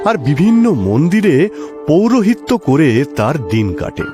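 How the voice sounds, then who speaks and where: A man's voice: a little high, big pitch swings, moderate pace. A man narrating a scripted ghost story in a dramatic storytelling style. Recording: music behind the voice.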